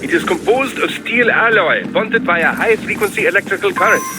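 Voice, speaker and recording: An actor playing an eager, fussy scientist with a German accent, giving precise, theatrical mission advice in a video game. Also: male voice, high-pitched, lively intonation, fairly quick, noisy background. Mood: explanatory. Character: excitable, fussy European male scientist mission adviser. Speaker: mission support over radio.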